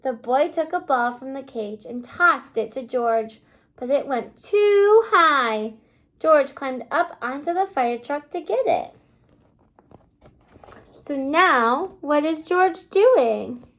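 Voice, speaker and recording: A woman kindergarten teacher reading a storybook aloud to young children in an exaggerated, child-directed way, pausing to ask simple questions. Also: high voice, lively intonation, a bit bright. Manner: asks simple questions, pausing as if listeners answer.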